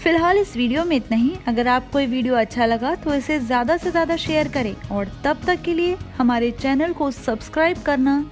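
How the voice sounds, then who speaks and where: A woman with a high-pitched voice presents a scripted explainer as a video host. She speaks to the viewers in a presenter's manner. Soft music plays behind her. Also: moderate pace, hardly any pauses. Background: background music.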